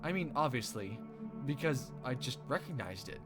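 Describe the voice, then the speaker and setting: A man hosting a casual playthrough, reading a visual novel aloud with expressive storytelling. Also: medium pitch, normal speed. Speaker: let's play host. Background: game music.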